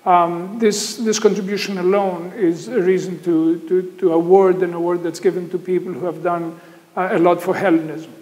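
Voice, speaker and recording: A high-pitched man delivers steady praise in an even, flat tone with the odd hesitation. His voice trails a long reverb. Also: normal speed. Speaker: speaker giving a tribute. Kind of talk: tribute interview.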